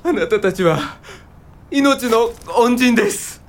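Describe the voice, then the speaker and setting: A man's voice: extremely high pitch, animated, slow pace, exaggerated voice. A man playing a humble, earnest released prisoner full of gratitude in a radio drama. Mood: tearfully grateful. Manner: earnest, effusive. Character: grateful, humble released Korean male patient.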